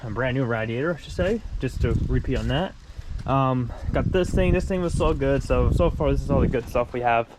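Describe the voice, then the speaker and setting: A man's voice: medium pitch, fairly quick, very noisy. A man narrating casually while he takes apart a wrecked car, rambling and correcting himself, with a congested voice. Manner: rambling, informal, self-correcting as he works. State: congested after being sick. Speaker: vlogger working on his race car.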